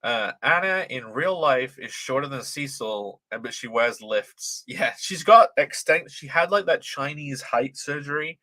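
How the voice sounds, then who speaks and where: A man's voice: mid-range voice, expressive, measured pace, few pauses, sharp tone, dry recording. A young man from Britain with a light British, transatlantic-tinged accent, chatting casually on a livestream, reading chat aloud, swearing freely and laughing often.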